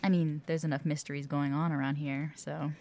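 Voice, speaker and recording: Woman playing a friendly low-voiced female office worker. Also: little pitch movement, a little fast, a little mellow. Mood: caring, curious. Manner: chatty, supportive. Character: friendly, chatty, curious female office worker, former assistant. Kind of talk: audio roleplay acting.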